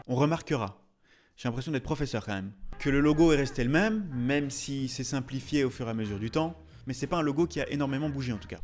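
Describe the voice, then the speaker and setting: A chatty man hosting a video with jokey, casual commentary and theatrical, mock-indignant asides. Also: mid-range voice. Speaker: YouTube host, tattoo artist. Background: music behind the voice.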